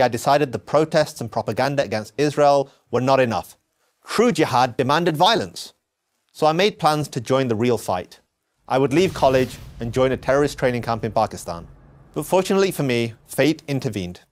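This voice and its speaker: A man from England, giving an earnest, measured scripted narration of his own story. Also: mid-range voice. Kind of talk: scripted first-person narration.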